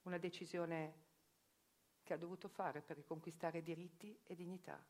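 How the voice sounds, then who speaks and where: A woman narrating a theatre reportage in a measured, reflective storytelling manner. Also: fairly low pitch, steady tone, darkish tone.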